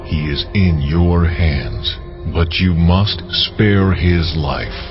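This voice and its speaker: A man's voice: deep voice, drawn-out words. A man voicing the Lord in a dramatized scripture reading, solemn and authoritative. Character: the Lord, commanding divine male voice. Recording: dull lo-fi recording. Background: cinematic music.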